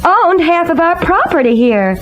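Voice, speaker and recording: High-voiced, anxious young mother. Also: big pitch swings, normal speed. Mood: flustered. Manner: flustered, earnest. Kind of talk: old radio serial acting. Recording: noisy vintage radio recording.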